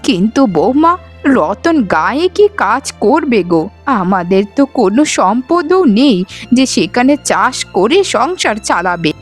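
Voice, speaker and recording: A woman's voice: a little high, full character voice. A woman voicing an affectionate, fretful older mother-in-law in a village cartoon, motherly and doubtful. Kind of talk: Bengali moral-story cartoon voice acting.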